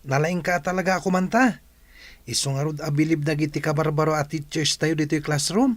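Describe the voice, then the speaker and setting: A man's voice: a little high, little pitch movement, fairly quick, dry recording, lightly acted. A man with a Filipino accent acting a friendly, admiring schoolboy in a radio drama.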